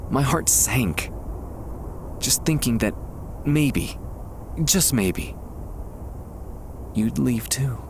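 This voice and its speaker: A man playing a nervous, tender young man, a childhood best friend, in an intimate ASMR roleplay, speaking haltingly with pauses over soft outdoor ambience. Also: fairly low pitch. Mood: anxious, confessing. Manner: hesitant, halting, trailing pauses. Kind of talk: ASMR boyfriend roleplay, intimate acted monologue. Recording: faint background ambience noise. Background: soft outdoor park ambience.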